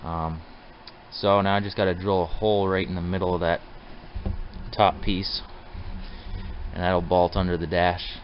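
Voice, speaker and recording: A man with a deep voice talks through a DIY job in a casual, plainspoken way, addressing viewers like buddies. The audio is dull and lo-fi, with faint noise behind him. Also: flat delivery, a little slow, pauses often. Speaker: DIY truck restorer hosting his build videos. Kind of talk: casual hands-on how-to narration.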